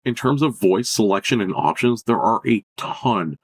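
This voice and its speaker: A man presenting a software tutorial in a casual, unscripted, chatty and enthusiastic way. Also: on the low side, lively intonation, moderate pace, slightly rough.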